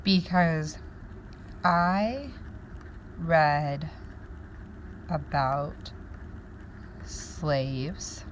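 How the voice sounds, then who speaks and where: A woman teacher doing a read-aloud lesson, pausing to wonder aloud and explain words to her pupils. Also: deep voice, a little hiss or hum, low-quality recording.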